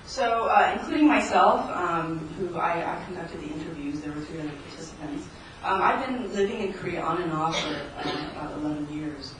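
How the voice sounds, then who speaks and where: A woman presenting research at an academic conference, speaking in a measured, explanatory way with frequent ums and restarts. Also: deep voice. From United States. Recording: echoey hall, distant mic, noisy.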